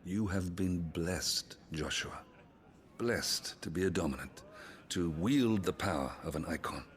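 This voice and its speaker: Very deep-voiced noble father. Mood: solemn. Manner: measured, reassuring. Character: noble father and ruler, kindly, solemn, proud. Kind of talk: video game drama acting.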